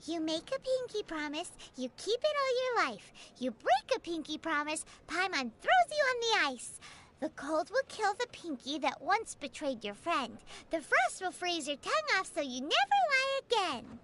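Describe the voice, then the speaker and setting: A woman's voice: very high voice, very animated, normal speed, background noise. A woman voicing a tiny, bubbly female fairy companion who talks in a chirpy, dramatic, teasing way, for a video game. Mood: mock-grim. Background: game music.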